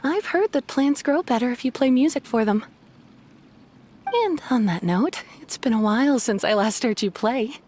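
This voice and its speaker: A woman's voice: fairly high pitch, animated, moderate pace, darkish tone, slight background noise, natural voice. A woman voicing a friendly, sweet flower shop keeper in a video game. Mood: fond.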